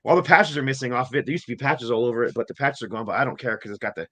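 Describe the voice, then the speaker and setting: Bright-voiced man, voice going in and out. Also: mid-range voice, very fast, breathy edge. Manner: rambling, enthusiastic storytelling with restarts. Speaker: podcast co-host. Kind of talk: casual chat.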